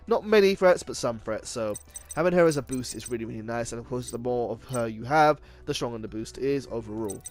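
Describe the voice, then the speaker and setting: A man hosting an unscripted card game video, explaining things informally and often correcting himself as he goes. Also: medium pitch. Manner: halting, self-correcting, informal. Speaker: video game deck profile host. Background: music.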